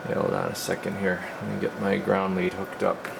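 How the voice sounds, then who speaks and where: A man with a low, creaky voice talks casually through repair work. He speaks haltingly, half muttering to himself as he thinks. A clear steady hum of room noise sits behind him. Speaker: radio repair technician and video host. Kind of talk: casual bench commentary while working. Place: indoor radio repair workbench.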